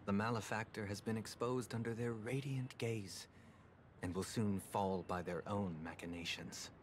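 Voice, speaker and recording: Low, solemn young man played by an actor. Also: fairly even intonation, slight background noise, close to an everyday voice. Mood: solemn, menacing. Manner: formal, measured, grandiose. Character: solemn, aloof young man, pious winged leader. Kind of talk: dramatic video game voice acting. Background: orchestral game music.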